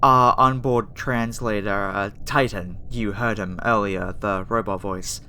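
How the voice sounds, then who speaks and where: A woman voicing a gentle, flustered young female alien scientist in an ASMR roleplay, speaking soothingly and hesitantly with stammers. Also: extremely low pitch, fairly even intonation, measured pace, full character voice. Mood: awkward, sheepish. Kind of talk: ASMR roleplay audio acting. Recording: faint background noise under the voice.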